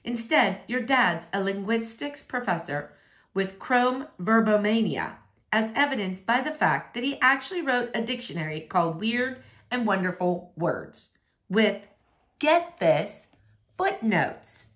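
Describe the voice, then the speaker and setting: A woman's voice: medium pitch, expressive, unhurried, fairly bright tone. Woman reading a verse novel aloud. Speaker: read-aloud reader.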